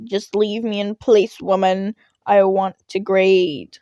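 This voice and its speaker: Weary male schoolteacher, female reader: grumpy, flat deadpan delivery for audio fiction, close dry recording. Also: mid-range voice, takes it slowly, dry recording, muffled recording. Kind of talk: fan fiction audio reading.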